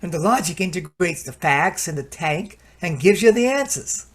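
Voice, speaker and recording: A man's voice: high-pitched, expressive, normal speed. Chatty, folksy salesman played by a man. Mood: confident explaining. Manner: chatty, hemming and hawing, colloquial. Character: folksy, patient salesman of home computer gadgets. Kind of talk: radio play acting.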